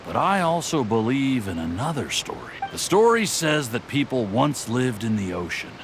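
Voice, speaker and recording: A man's voice: medium pitch, sing-song, normal speed, noisy background, natural voice. An actor playing an easygoing, dreamy, philosophical townsman in a video game, musing like a storyteller. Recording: music clearly under the voice.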